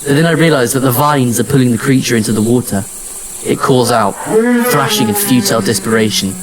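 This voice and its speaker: A man narrating a scripted audio drama in the first person, with measured, serious storytelling. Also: mid-range voice, fairly even intonation, a loud crowd, poor audio. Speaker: narrator and lead actor.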